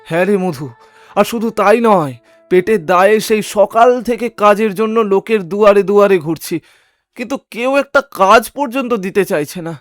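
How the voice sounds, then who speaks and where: A man voicing a poor, honest male cart-puller in an animated storybook, pleading and sorrowful. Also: high voice, brisk, no room sound, close to an everyday voice. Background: background music.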